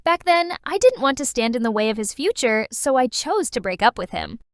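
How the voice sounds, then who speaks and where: A woman's voice: fairly high pitch, very animated, a little fast, no echo, clean studio sound. A woman playing a cheerful late-night radio DJ who reads listeners' letters expressively in a manga dub. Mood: wistful reading. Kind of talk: radio presenting, anime-style dub.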